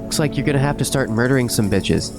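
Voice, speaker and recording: Mid-pitched man bantering over game music. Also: fast. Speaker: game stream co-host. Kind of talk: mock golf commentary, then casual banter.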